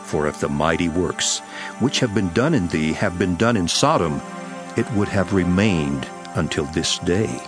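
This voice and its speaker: A man voicing Jesus in a dramatized Bible reading, solemn and measured with bursts of intensity. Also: deep voice, lively intonation. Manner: solemn measured delivery, rising to intense exclamations. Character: Jesus, calm grown man teacher, solemn and gentle. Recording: studio voice with music beneath.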